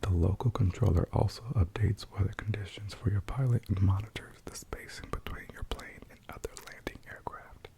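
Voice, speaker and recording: Very low, creaky whispering ASMR man. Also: fairly even intonation, fairly quick, few pauses. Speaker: ASMR host. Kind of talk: ASMR, whispered and soft-spoken article reading.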